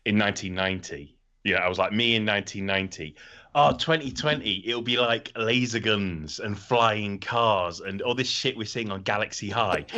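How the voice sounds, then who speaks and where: A man's voice: lowish voice, pushed and bright, dull audio. A man co-hosting a podcast in casual, comedic chat, ranting animatedly and swearing freely. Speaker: podcast co-host.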